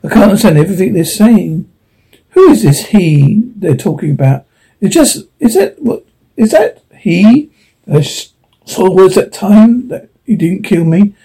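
A man voicing a timid young fawn who speaks quietly and uncertainly, in a storybook reading. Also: sits high, animated, unhurried, creaky, slightly rough, voice pushed up, full character voice, poor audio.

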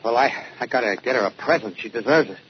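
Supporting role in vintage detective radio male actor: plain-spoken, mid pitch, dull recording, faint noise. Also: quick pace, close to an everyday voice. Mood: puzzled. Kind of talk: 1940s radio crime drama acting. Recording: muffled, noisy old radio recording.

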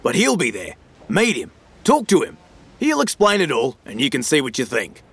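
An actor playing a slippery, matey Australian financial dealer in an audio drama, full of slangy, glib sales patter. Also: male voice, medium pitch, huge pitch swings, normal speed, vocal fry, a bit bright, slightly rough, heavily acted. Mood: enthusiastic, selling.

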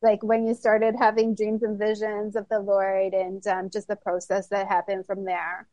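Chatty woman host with a mellow voice. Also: mid-range voice, completely flat delivery, moderate pace, no room sound. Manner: chatty, laughs easily, filler "like". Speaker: podcast host. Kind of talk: casual interview chat. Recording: dull lo-fi dry audio.

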